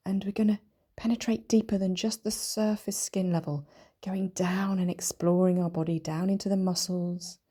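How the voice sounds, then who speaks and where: A woman leading a guided meditation in a soft, breathy, whispery voice, speaking gently and soothingly with long, deliberate pauses. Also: lowish voice. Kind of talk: guided meditation, body scan.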